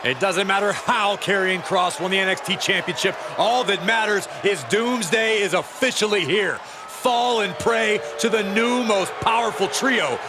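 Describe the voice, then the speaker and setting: A man playing a dramatic wrestling play-by-play announcer in a video game, calling out emphatically in a raised, shouting voice. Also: high voice, unhurried. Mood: emphatic, excited. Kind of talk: sports commentary in a video game. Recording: clear arena noise.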